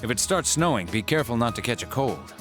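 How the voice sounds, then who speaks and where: Male hospital doctor, video game dub, mid pitch, measured, formal, gentle, music behind. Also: sing-song. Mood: calm, considerate. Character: calm, considerate adult male hospital doctor. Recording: music bed under the voice.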